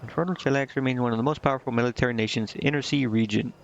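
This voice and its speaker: A man's voice: medium pitch, steady tone, very fast, dull audio. A man livestreaming a game, reading text aloud and commenting in an informal, slangy, offhand way. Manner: informal, slangy, reacting offhand between read-out lines. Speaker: video game streamer.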